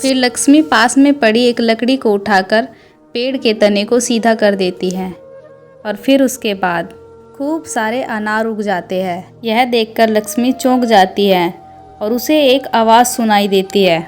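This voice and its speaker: A woman narrates a scripted cartoon moral tale in a fairly high voice. Music plays under her storybook delivery. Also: unhurried. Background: background music.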